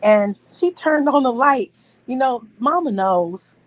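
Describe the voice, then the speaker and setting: A woman's voice: fairly high pitch, expressive, slow pace, poor audio. Laughing, profane woman telling a story. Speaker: host. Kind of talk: casual storytelling chat.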